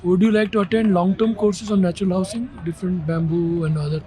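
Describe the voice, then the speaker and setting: A man's voice: sits high, fairly even intonation, fast talker, dark tone. A man interviewing attendees, asking curious, conversational questions.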